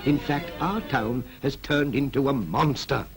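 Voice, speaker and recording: An actor with a British accent playing a brisk, persuasive town planner in a 1940s animated public information film, clipped and instructive. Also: male voice, medium pitch, natural voice. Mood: brisk, explanatory. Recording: vintage 1940s film soundtrack with music.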